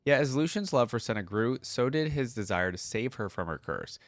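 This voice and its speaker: A man's voice: medium pitch, animated, a little fast, no room sound. A man hosting a reaction video, talking informally as he comments and reads lore aloud.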